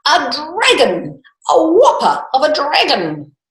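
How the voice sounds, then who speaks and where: A woman plays an excitable king from a children's storybook, astonished and greedy for a prize. The words are exclaimed and stretched out grandly. The room is clearly echoey. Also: high voice, slow pace, voice pushed up, exaggerated voice.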